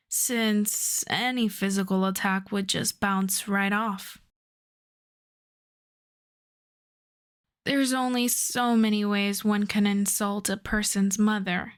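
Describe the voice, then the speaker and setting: An infamous supervillain, acted by a woman speaks at a slow, unhurried pace in a mid-range female voice. She is wry and flirtatious, coaxing and teasing in an intimate, conversational way suited to an ASMR roleplay drama. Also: mid-range voice, natural voice.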